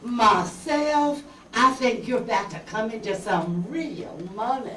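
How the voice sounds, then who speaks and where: Lady doing a coy southern schemer, radio noir, real slow n sweet-talking, roomy far-off mic. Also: a little high, animated, fairly bright tone. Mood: coy, coaxing. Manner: coaxing, sugary. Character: coy, scheming Southern blonde femme fatale. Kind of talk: 1950s radio noir acting on stage. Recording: echoey room, distant mic, background noise.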